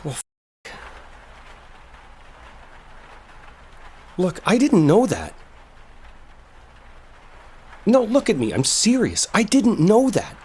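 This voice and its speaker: A man's voice: high voice, huge pitch swings, normal speed, darkish tone, breathy edge, full character voice. A man playing an exasperated, defensive coworker who is secretly smitten, in an emotional roleplay audio drama. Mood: earnest, defensive. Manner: emotional, pleading, emphatic, conversational. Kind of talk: roleplay audio drama, intimate one-sided dialogue.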